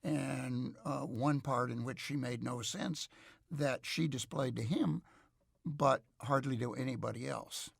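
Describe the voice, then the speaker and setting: Man, heavy vocal fry, slightly husky, dry close sound, measured scholarly delivery, reflective interview guest. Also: medium pitch, no echo. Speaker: researcher, expert interview guest.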